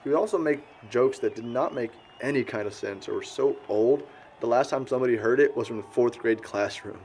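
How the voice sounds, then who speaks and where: Young American male actor as a blunt, teasing loudmouth for audio drama, slangy talk, slightly noisy recording. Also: medium pitch, a little fast, natural voice. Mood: scornful. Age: young adult. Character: crude, mocking young man, the group's loudmouth. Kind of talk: conversational audio drama acting.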